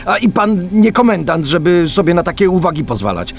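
A man's voice: high voice, sing-song, fairly quick, creaky, a little hiss or hum, exaggerated voice. An actor playing a polite, earnest policeman loyal to his men, speaking formally and hesitantly in a radio drama. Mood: indignant.

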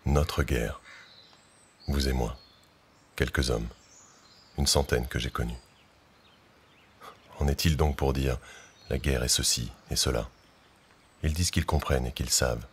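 Male reader, grave declamation; very deep, breathy, monotone. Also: a little slow, pauses often. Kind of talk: solemn reading.

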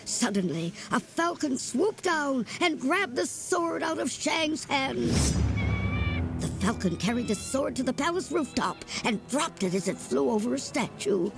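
A woman reading a children's storybook aloud with a lively, expressive delivery. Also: high voice, a little slow, over music. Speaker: storybook reader. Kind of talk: read-aloud storybook narration.

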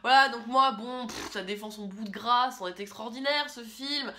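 A young woman talking casually and with strong opinions as she reviews films into a camera in a small room, often pausing on fillers. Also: on the high side, sharp tone, a little hiss or hum. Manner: chatty, opinionated, with frequent fillers. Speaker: film critic host. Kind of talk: casual film review vlog.

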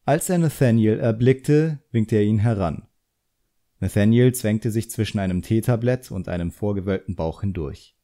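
A man's voice: lowish voice, normal speed, warm tone. A man reading a fantasy novel as scripted audiobook narration.

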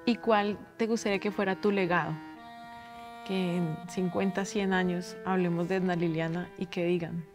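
A woman's voice: lowish voice, steady tone, normal speed, music in the background. A woman, hosting a conversational interview. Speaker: interviewer, host. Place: large empty auditorium stage.

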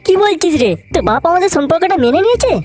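A scheming young cartoon boyfriend, put on by a voice actor, pitched very high. He talks in cheeky, crude slang like a lad dodging trouble. Music plays clearly behind the voice. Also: very animated, rapid-fire. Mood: sweet-talking. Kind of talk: Bengali comedy cartoon dubbing.

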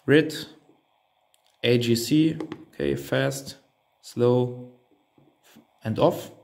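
Male hobby reviewer, native German speaker, unhurried and hesitant, talking through a device step by step. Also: mid-range voice, creaky, darkish tone. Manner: hesitant, thinking aloud. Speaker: reviewer demonstrating a radio transceiver. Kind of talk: unscripted hands-on product walkthrough.

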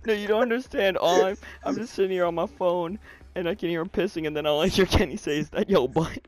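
A man's voice: high-pitched, quick pace, low-quality recording. A young man chatting casually with friends while gaming, profane, giggly and incredulous. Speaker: online gamer, video maker.

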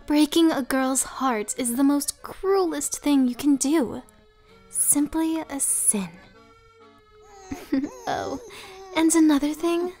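A woman acts a vengeful teenage villainess for a cartoon comic dub. Her voice sits on the high side, taunting and theatrical, over faint music. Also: a little mellow. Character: heartbroken teenage girl turned vengeful, mocking villainess. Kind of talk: dramatic anime-like acting in a comic dub.

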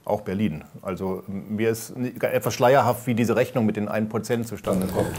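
Man, husky voice, panel guest, matter-of-fact explanations. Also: fairly low pitch, moderate pace, a little mellow. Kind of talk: discussion panel.

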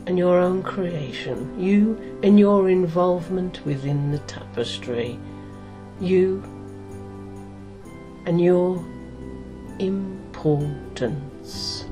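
A middle-aged woman hypnotist leading a guided meditation in a soothing, deliberate way, pausing between short phrases. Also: low-pitched.

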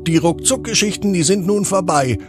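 A man's voice: high voice, moderate pace, music in the background, voice pushed up, natural voice. A man voicing a chirpy CD announcer in a children's story, talking in sing-song rhymes. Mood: excited announcing.

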